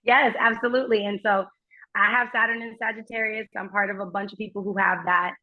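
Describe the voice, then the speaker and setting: A woman whose first language is German chats as a podcast guest. She speaks in long, earnest, personal runs at a middling pitch. The remote audio is muffled and lo-fi. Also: steady tone, fairly quick. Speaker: guest astrologer. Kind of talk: casual conversation. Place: remote, while traveling.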